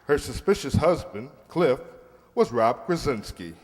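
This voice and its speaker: A male announcer reads in old-time radio style. His pitch is mid and very lively, with long hall reverb and clear noise behind him. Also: a little slow. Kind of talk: scripted old-time radio closing credits.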